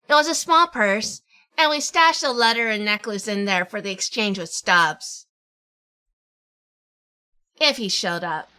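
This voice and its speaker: A woman's voice: medium pitch, bright, forceful tone, dry recording. A woman playing a wry, world-weary detective in a noir audio drama, with a dry, sardonic delivery. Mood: wry, fond. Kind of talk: hardboiled noir radio drama narration and dialogue.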